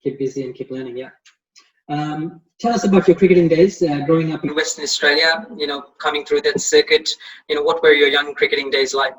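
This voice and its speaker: A man's voice: a little high, fairly even intonation, a little fast, a bit bright, low-quality recording. A man hosting an interview, asking short questions with brief encouraging replies. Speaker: interview host.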